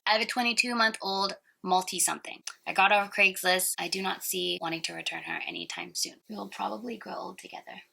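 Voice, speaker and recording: A woman in her thirties from Singapore tells her story in a playful, self-mocking narration. Her voice is bright and forceful, with a gravelly edge. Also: medium pitch, fairly even intonation, fast, hardly any pauses. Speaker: singer and host. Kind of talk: scripted personal narration.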